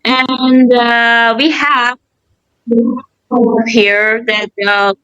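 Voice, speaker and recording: A woman's voice: on the high side, steady tone, very slow, pushed and bright, clean tone, lo-fi sound. A woman from Iran chatting over a video call, hesitant and often searching for words. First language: Persian. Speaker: conversation partner. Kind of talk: casual video-call chat.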